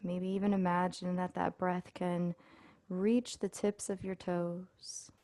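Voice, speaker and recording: A woman leading a guided body scan meditation as an instructor, speaking in a soothing, unhurried way with pauses. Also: lowish voice, little pitch movement, dark tone, dry recording.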